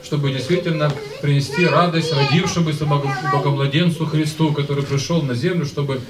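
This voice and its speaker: Roomy hall with audience noise, man in his fifties, priest, halting blessing speech, pauses mid-phrase. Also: fairly high pitch, fairly even intonation, far from the mic, crowd noise. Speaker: priest, church rector. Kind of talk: festive blessing speech to congregation. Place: church school hall, indoors. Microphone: handheld microphone, recorded from a distance.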